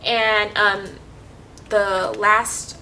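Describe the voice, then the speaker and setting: A woman's voice: medium pitch, level tone, drawn-out words, bright, forceful tone. A woman vlogger chatting casually through her makeup routine, sniffly with a cold and full of ums. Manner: chatty, many ums and restarts. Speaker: beauty vlogger. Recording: slightly distant mic, faint hiss.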